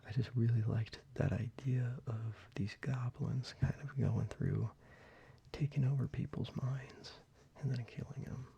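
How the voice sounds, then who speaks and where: A man whispering softly into a close microphone in a soft-spoken ASMR video, with quiet chuckles along the way. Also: mid-range voice, steady tone, unhurried, vocal fry, husky, muffled recording. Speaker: ASMR creator.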